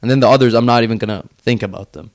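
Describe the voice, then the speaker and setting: A man's voice: mid-range voice, very fast. A man from Finland chatting casually as an interview guest, in informal speech peppered with "like". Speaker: podcast guest, young entrepreneur.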